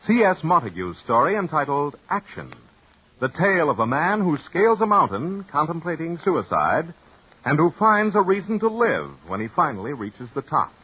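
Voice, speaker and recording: A man's voice: a little high, husky. A man announcing in a formal, declamatory mid-century radio style. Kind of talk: 1940s-50s radio announcing. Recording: dull vintage radio recording.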